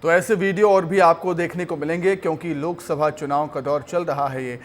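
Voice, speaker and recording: A man's voice: a little high, steady tone, brisk. A man reading television news as an anchor, in an emphatic style that repeats headlines, with music behind. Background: news music bed.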